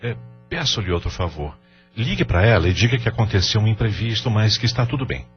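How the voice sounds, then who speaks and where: A man's voice: on the low side, animated, fairly quick, close to an everyday voice. A Brazilian man playing a distraught, guilt-ridden middle-aged father with a double life in an audio novela. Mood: distraught, pleading. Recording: dull lo-fi audio with music bed.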